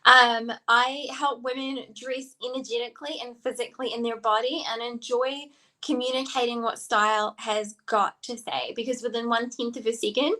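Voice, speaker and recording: A woman's voice: fairly high pitch, moderate pace. A woman in her thirties with a New Zealand accent, a stylist co-hosting a podcast and chatting eagerly with many "like" fillers. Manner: energetic, enthusiastic, lots of "like" fillers. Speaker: stylist and co-host. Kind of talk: casual podcast chat.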